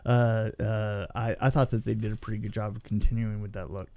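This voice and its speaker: A man's voice: lowish voice, level tone, a little fast, mellow, no room sound. A man casually telling anecdotes from film and TV work as a guest, chatty and given to acting out remarks.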